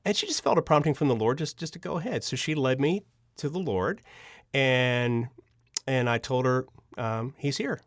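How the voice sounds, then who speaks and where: A man's voice: mid-range voice, moderate pace, no echo. Male storyteller chatting, close dry sound. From Pennsylvania, United States. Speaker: podcast guest, storyteller. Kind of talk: casual personal storytelling. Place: studio.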